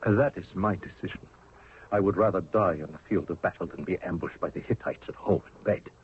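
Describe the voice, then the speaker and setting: An actor playing a grave, defiant military commander of ancient Egypt in a 1970s radio drama. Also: male voice, low-pitched, a little fast, breathy edge. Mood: grim, resolute. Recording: tinny old radio recording, faint noise.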